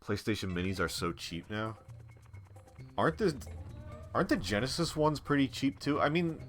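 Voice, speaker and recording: A man streaming a game, chatting casually with a stuffy, congested nasal voice and a rambling, relaxed way of talking. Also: medium pitch, normal speed, faint music behind. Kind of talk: casual chat while playing a game on a live stream.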